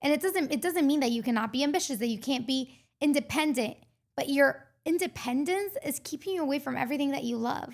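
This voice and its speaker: A woman's voice: sits high, lively intonation, quick pace. A woman in her twenties from Costa Rica, a mindset coach talking earnestly as a podcast guest, with many filler words. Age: 20s. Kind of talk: podcast interview.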